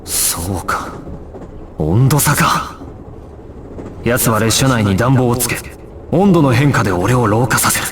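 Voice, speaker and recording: An actor playing a resolute, stoic young gangster squad leader in a Japanese action video game, speaking with solemn, commanding authority. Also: male voice, mid-range voice, expressive, measured pace, pauses often, noisy background, natural voice. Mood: tense, analytical. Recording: music behind the voice.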